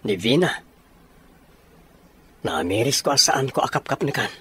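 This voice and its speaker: A man's voice: medium pitch, expressive, normal speed, fairly bright tone, husky. A man with an Ilocano accent acting an earnest soldier in a radio drama. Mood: troubled. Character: earnest soldier abroad confiding in his buddy.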